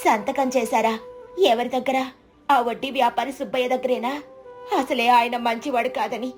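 Woman acting a high-voiced, resolute village wife. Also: natural voice. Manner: earnest, expressive, questioning. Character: clever, resolute village farmer's wife, worried yet brave. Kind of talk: dramatized Telugu moral story.

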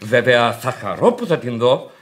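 An actor playing a pompous, nervy, easily flustered bank director in a theatrical radio drama. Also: male voice, mid-range voice, big pitch swings, close to an everyday voice. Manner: pompous, flustered, stammering pet exclamations. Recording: old radio theatre recording.

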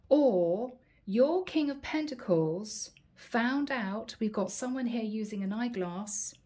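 A woman reading tarot cards at home and talking casually to her viewers, her voice a little hoarse from a long day. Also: mid-range voice. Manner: chatty, warm address to viewers, frequent "guys" and "okay". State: slightly hoarse, losing her voice. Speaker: tarot reader. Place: indoor home room, table with plants.

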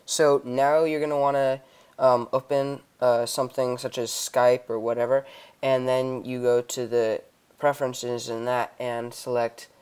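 A young man giving a casual software tutorial in a hesitant, improvised way. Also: medium pitch, flat delivery, unhurried, clear, resonant tone. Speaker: tutorial host. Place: indoors, voice-over on a computer screen recording.

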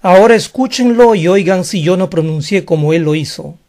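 A man's voice: sits high, brisk, mellow. A man whose first language is Spanish, giving an online language lesson in a frank, defensive, explanatory manner. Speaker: English teacher.

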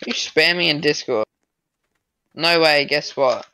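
A man's voice: a little high, a little slow, sharp tone, a little hiss or hum, low-quality recording. A teenage boy chatting on a friend's gaming livestream, giggly and playful, acting mock offended. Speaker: co-host friend on stream. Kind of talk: casual gaming livestream chat.

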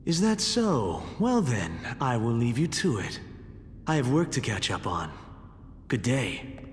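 A man's voice: sing-song, a little slow. An actor playing a stern, aloof young man in a video game, speaking formally with clipped disdain. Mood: cool, disapproving. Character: stern, aloof young male schemer, dutiful and curt. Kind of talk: video game cutscene acting. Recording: reverberant hall, faint rumbling noise.

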